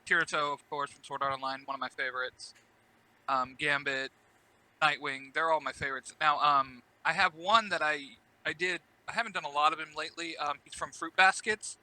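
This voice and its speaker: Very bright-toned man, casual podcast guest. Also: fairly high pitch, rough recording. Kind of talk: casual chat.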